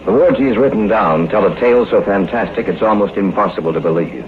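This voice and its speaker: An actor playing a solemn, haunted storyteller, grave and measured in scripted 1950s radio horror, on a muffled noisy recording. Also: male voice, fairly low pitch, natural voice. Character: solemn, haunted male storyteller mourning his best friends.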